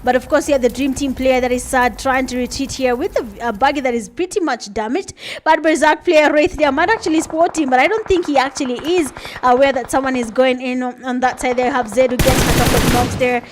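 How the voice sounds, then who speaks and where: A woman's voice: sits high, brisk, a little hiss or hum. A young Kenyan woman doing chatty live play-by-play commentary for an esports match, with plenty of filler words. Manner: chatty, laughs at herself, frequent uh fillers. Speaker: esports caster.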